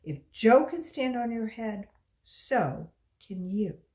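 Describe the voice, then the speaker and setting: Storybook schoolteacher, female, firm and insistent, expressive pitch, measured pace acted by a woman reader. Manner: brisk, insistent.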